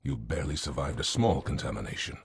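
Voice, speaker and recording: An actor voicing a stern, imperious alien warrior shipmaster in a video game, gravelly, rough, grave and formal. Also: male voice, extremely low pitch, lively intonation, fast, no room sound, full character voice. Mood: scornful. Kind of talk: dramatic video game acting.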